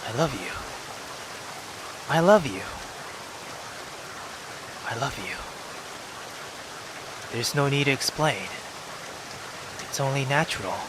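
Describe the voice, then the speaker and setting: A man reading the lines of a cruel, doting mother who scolds her daughter in a soft, low, menacing voice. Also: animated, slow pace, long pauses, background noise. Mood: coldly tender.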